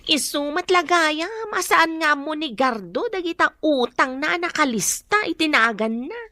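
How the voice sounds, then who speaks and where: Radio drama role: brisk, pressing daughter, Ilocano accent, high female voice, close dry recording. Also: lively intonation, pushed and bright, no room sound, heavily acted. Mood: concerned. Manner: pressing, questioning. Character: brisk, insistent daughter collecting canteen debts.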